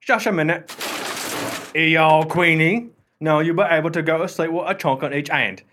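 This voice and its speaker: An actor playing an irreverent, wisecracking working-class porter in a radio play, with a chatty, teasing manner. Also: male voice, on the high side, moderate pace, noisy background, natural voice. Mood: cheeky teasing.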